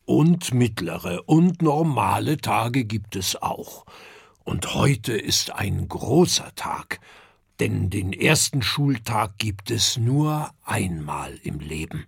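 A man voicing a calm, gentle dragon father who talks slowly and ponders out loud. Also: gravelly, husky, dry recording, clean studio sound, exaggerated voice. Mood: musing. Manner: slow, deep, musing.

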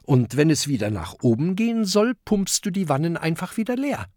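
A narrator voicing a small excitable female porcupine with a squeaky, wondering manner, in a storybook reading. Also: moderate pace, dry recording, natural voice. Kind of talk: storybook character voice.

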